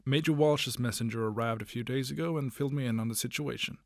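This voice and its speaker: A man's voice: medium pitch, no room sound, lightly acted. Man playing a brusque army major, close and dry. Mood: brusque, weary. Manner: brisk, official. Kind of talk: scripted post-apocalyptic radio drama.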